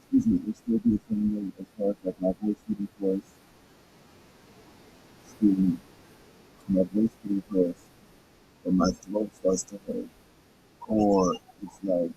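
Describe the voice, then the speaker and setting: Male reader-host, hoarse and raspy, halting delivery, gravelly fry, lively pitch. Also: dull audio, slight background noise. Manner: halting, stumbles over words, frequent restarts. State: hoarse, throat hurting. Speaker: host reading a book aloud. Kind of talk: casual reading with commentary.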